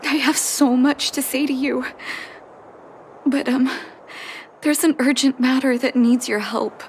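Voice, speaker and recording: A woman playing a gentle, dutiful young doctor in a video game, with a soft, earnest, sometimes breathy delivery. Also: high-pitched, fairly even intonation, a little slow, slight background noise. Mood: apologetic, tender. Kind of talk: video game story voice acting. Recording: music behind the voice.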